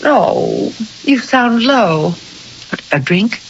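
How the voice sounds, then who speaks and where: An actress playing an anxious, insistent wife haunted by foreboding, in an earnest, emphatic 1980 radio drama style. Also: female voice, a little high, big pitch swings, drawn-out words, slight background noise, heavily acted. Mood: concerned. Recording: dull lo-fi old radio recording.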